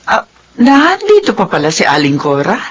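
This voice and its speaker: Radio drama woman: hesitant, gentle-spoken, faint noise behind. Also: mid-range voice, wildly swooping pitch, normal speed. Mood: hesitant, grateful. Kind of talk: Filipino radio drama acting.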